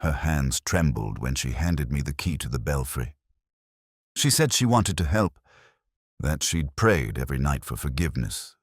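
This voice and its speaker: A man narrating a first-person detective story in a solemn, measured, literary manner. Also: a bass voice, no echo, studio quality.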